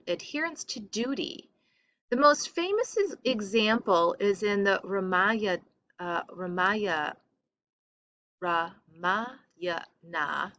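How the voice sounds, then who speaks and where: A woman reading a lesson aloud as a teacher, in a careful and deliberate manner. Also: medium pitch, bright, forceful tone, no room sound. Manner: careful, deliberate reading, stumbles over foreign names. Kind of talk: read-aloud lesson narration.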